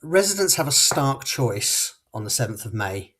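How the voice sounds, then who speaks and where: A man's voice: mid-range voice, big pitch swings, moderate pace, a bit bright, slightly rough. A man from Portsmouth giving a firm, punchy closing campaign speech as a local candidate, in short declarative sentences. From Portsmouth, England.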